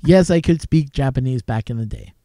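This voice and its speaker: Man from Canada, stream host, casual rambling chat, smooth resonant tone, dry close sound. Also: mid-range voice, expressive, brisk, warm tone, dry recording. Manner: rambling, with restarts and chuckles. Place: home desk crowded with gear. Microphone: desk mic with a mixer.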